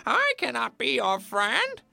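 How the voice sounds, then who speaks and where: A woman's voice: fairly high pitch, huge pitch swings, slow, voice pushed up, full character voice. A woman voicing a haughty, dismissive bird in a children's story, curt and disdainful. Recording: music clearly behind.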